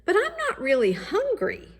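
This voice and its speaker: A woman's voice: very high voice, huge pitch swings, moderate pace, voice pushed up, exaggerated voice. A woman voicing an earnest young boy in a children's storybook, full of questions and longing to grow taller. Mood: reluctant. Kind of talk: children's storybook read-aloud.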